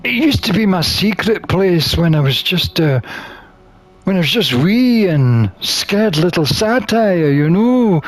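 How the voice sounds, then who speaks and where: A man reading a visual novel aloud as a gamer, expressive and theatrical, with drawn-out phrasing. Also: high-pitched. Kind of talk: expressive read-aloud of game text.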